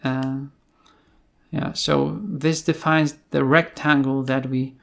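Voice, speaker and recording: A man presents a technical tutorial in an unscripted way. His pace is slow and his delivery halting, broken by frequent filled pauses. The voice has a noticeable gravelly fry and sits at a middling pitch. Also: flat delivery, a bit bright. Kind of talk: screen-share tutorial, unscripted explanation.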